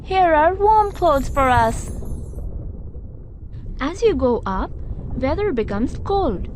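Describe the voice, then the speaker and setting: A woman voicing a lively, knowledgeable young cartoon guide who explains things expressively to a child. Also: high voice, takes it slowly, a little hiss or hum, full character voice. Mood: explaining. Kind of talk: scripted children's educational cartoon dialogue.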